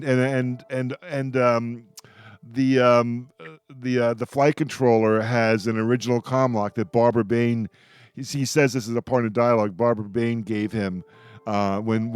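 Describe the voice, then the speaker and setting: A man hosting a radio roundtable, chatting as an enthusiastic fan in an effusive, halting way with repeated words. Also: medium pitch, darkish tone, clear, resonant tone, dry recording.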